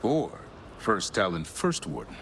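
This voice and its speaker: A playful male comrade from a fantasy game. His voice is fairly low and teasing, with faint ambient noise behind it. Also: big pitch swings, a little slow, fairly bright tone, full character voice.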